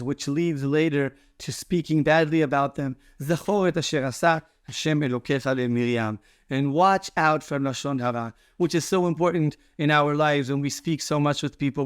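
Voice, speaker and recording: A man's voice: fairly high pitch, smooth, no echo, clean studio sound. A man speaking as a rabbi, giving a short explanatory Torah teaching with emphatic repetition.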